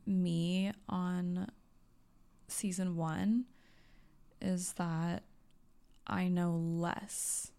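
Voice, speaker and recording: A woman's voice: on the low side, level tone, very slow, long pauses, mellow, clear, resonant tone. A young woman co-hosting a podcast in casual chat, laughing often and trailing off. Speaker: podcast co-host.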